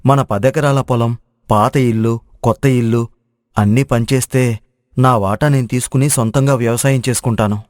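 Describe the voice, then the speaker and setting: A man's voice: mid-range voice, fairly even intonation, moderate pace, darkish tone, close to an everyday voice. A man playing a naive, hesitant younger brother in a moral story, recorded with long reverb.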